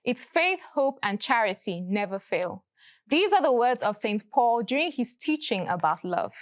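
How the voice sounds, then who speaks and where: Woman hosting a talk show, lively pitch, clear steady delivery, dry studio sound. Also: normal speed, dry recording. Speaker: host. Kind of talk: scripted show intro and interview.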